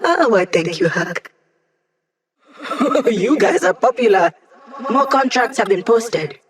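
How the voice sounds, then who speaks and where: A woman's voice: mid-range voice, expressive, slow. A young woman voicing a wry, quippy digital hacker who gives mission support in a video game, in a conversational way. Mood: wry, playful.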